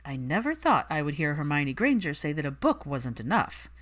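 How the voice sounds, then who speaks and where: A woman voicing a composed, wry, probing man of an old wizard family, with a smooth, measured manner in audiobook dialogue. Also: low voice, sing-song, a bit bright, full character voice.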